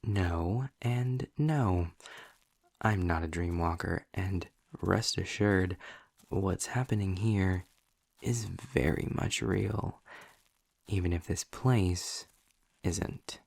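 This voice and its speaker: A man's voice: slow, darkish tone, no echo, heavily acted. A man playing a snarky, impatient male demon in an audio roleplay, speaking to the listener with theatrical, sardonic condescension. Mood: sardonic, commanding. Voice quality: low, gravelly, husky with breathy drops. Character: snarky, impatient male demon trapped in his own hell.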